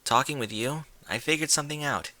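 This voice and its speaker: A man's voice: medium pitch, a little fast, bright, forceful tone, no echo, voice pushed up. A man voicing a brash, cocky young female pegasus pony in a story, talking in a blunt, slangy way. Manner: blunt, slangy, casual delivery.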